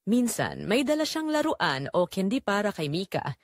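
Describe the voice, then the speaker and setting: Woman telling a sentimental drama story, really animated pitch, dry close sound. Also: normal speed, no room sound. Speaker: narrator of an audio drama. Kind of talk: first-person dramatic story narration.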